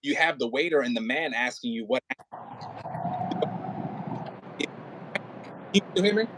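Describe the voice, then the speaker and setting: A man coaching an actor casually as an audio play director, thinking aloud in halting, repetitive phrases and sounding encouraging. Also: mid-range voice, expressive, background noise.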